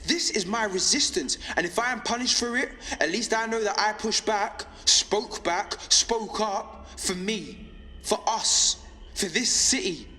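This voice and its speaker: An actor playing a defiant, lonely young male rebel on pirate radio in an audio drama, speaking intensely and deliberately in short rhythmic phrases. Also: high-pitched, pushed and bright, exaggerated voice. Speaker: underground radio broadcaster. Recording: reverberant space with background noise.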